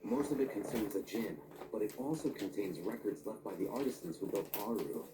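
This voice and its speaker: An actor playing a calm, learned male scholar in a video game, speaking in a measured, formal, explanatory way. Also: fairly low pitch, background noise, close to an everyday voice.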